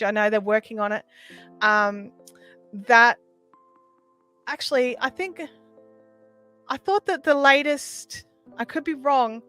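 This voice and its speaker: A woman's voice: a little high, fairly bright tone. A woman hosting a casual livestream, explaining things conversationally as she answers viewers. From Australia. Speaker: livestream host. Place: small sound-treated home room.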